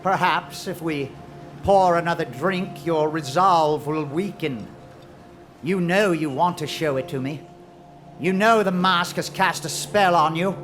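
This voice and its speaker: A man playing a bitter, obsessive treasure hunter in a macabre audio drama, speaking with deliberate theatrical menace. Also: fairly high pitch, fairly bright tone, faint music behind. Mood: sly, pressing. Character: bitter, obsessive male treasure hunter, vengeful rival named Jacob.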